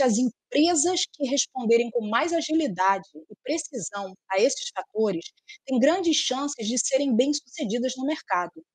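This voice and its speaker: A woman in her forties giving an online talk over a video call with a headset, speaking in a measured, explanatory, slightly formal way. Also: fairly high pitch, breathy edge, no echo, poor audio. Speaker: speaker from a software firm. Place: room at home.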